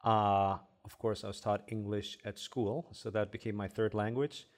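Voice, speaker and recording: A young man from Egypt, Arabic his first language, speaks as a specialist guest on a podcast. His voice sits low, and he explains at an easy pace, dotting his sentences with "uh". The feel is conversational. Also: normal speed. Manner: relaxed, many "uh" fillers while explaining. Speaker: headache specialist doctor, guest. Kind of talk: casual podcast interview.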